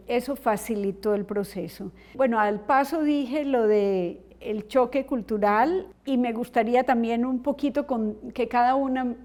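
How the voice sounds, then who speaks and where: Middle-aged female podcast host, psychologist, measured and explanatory, higher register. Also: darkish tone. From Colombia. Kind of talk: reflective family conversation.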